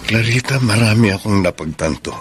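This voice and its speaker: An actor with a Filipino accent playing a humbled, repentant injured ex-boyfriend, solemn and resigned, in radio drama style. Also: male voice, on the low side, brisk, music in the background, natural voice. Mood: contrite, resigned.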